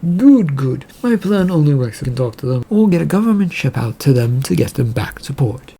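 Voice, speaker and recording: A man's voice: medium pitch, sing-song, fairly quick, vocal fry, warm tone, slightly rough, exaggerated voice. A man voicing a scheming, well-connected businessman in a story, wry and confident. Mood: gleeful.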